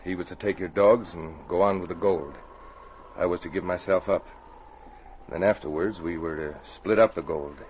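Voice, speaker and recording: An actor playing a shaken, remorseful outlaw with a halting, earnest delivery in a 1940s radio adventure. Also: male voice, deep voice, fairly even intonation, close to an everyday voice. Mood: confessional, regretful. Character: shaken, remorseful outlaw, betrayed by his partner. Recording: dull, hissy 1940s radio recording.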